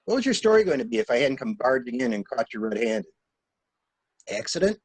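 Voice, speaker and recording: A man acting a coolly insistent stranger who questions a suspect in a halting, probing way, in a dramatic mystery reading. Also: mid-range voice, sing-song, slightly rough, no echo.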